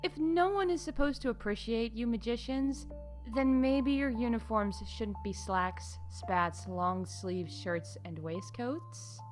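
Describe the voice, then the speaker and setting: A woman reading scripted comedic announcements in a playful, mock-official deadpan as the announcer of a news show. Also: a little high, slow, faint music behind. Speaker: announcer of a fictional theme park news show.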